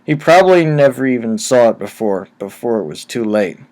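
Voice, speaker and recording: A man reading first-person horror stories aloud in a steady, low-key storytelling manner, recorded on a cheap microphone. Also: brisk, low-quality recording. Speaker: narrator.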